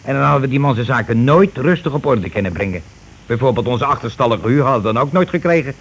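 An actor playing a scheming, money-grabbing husband and landlord in a radio play, talking in wheedling, self-justifying colloquial patter. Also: male voice, medium pitch, animated, fast, breathy edge. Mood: smug, self-justifying. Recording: noisy old radio drama recording.

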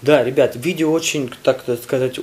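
A man vlogging in a casual, halting way, speaking while ill with a weakened voice. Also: mid-range voice, steady tone, darkish tone. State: ill, voice affected by a cold. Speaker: video blogger addressing viewers. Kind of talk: casual chat to camera.

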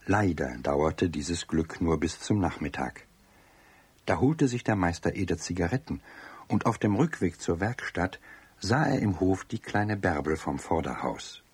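Storybook narrator, deep, strongly husky, calm scripted delivery, dry sound. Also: male voice, unhurried, no echo, exaggerated voice. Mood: calm storytelling. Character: calm male storyteller of a children's tale.

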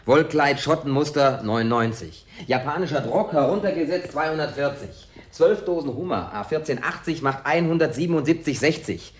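A man's voice: on the high side, moderate pace, few pauses, natural voice. Dry, grumbling man near forty acted for radio. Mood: grumbling, sarcastic. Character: grumbling, reluctant ex-navy man of thirty-nine. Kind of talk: German radio play dialogue acting.

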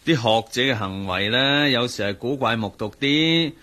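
Emphatic, worldly agency chief, mid-pitched Cantonese. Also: male voice, animated, moderate pace, pushed and bright. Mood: sceptical, resigned. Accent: Hong Kong Cantonese. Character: worldly male agency chief, sociable, sceptical client. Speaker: supporting actor in a radio drama. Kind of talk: radio drama acting.

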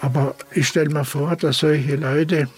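A man giving spontaneous testimony as an interviewee, speaking in an earnest, halting way. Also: fairly high pitch, fairly even intonation, darkish tone.

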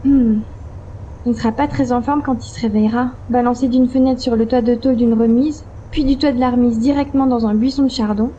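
A plucky sci-fi heroine played by a woman, sidekick to a secret agent, in an audio drama. Her voice is high, with faint noise and ambient effects around it. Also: fairly even intonation, a little fast, mellow, muffled recording, lightly acted. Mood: brisk, dry.

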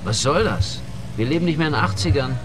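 An actor playing a suspicious, scornful male drug dealer in a radio drama, with a gruff, mocking delivery. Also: on the high side, expressive, a little fast, over music, close to an everyday voice.

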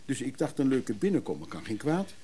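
A man's voice: medium pitch, very animated, a little fast, dark tone, a little hiss or hum, lightly acted. An actor playing a chatty, wry middle-aged man who teases his brother in a naturalistic radio play. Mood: chatty, amused. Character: teasing, chatty middle-aged brother named Freek, recovering drinker.

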